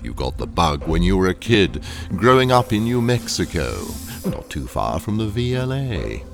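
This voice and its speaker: A sinister male engineer in an audio drama, with a very low, creaky voice. He swings widely in pitch while staying deliberate and condescending. He coaxes like a hypnotist, coldly in command. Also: big pitch swings, faint music behind, exaggerated voice. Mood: coaxing, firm. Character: ruthless, sinister male training engineer, coolly brainwashing a captive.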